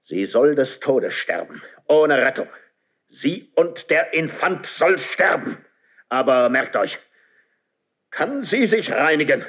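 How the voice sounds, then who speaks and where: A man's voice: on the high side, sing-song, slow, fairly bright tone, full character voice. A man acting a proud, suspicious, lonely king in a 1970s German radio play, with commanding classical declamation. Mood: wrathful, scornful.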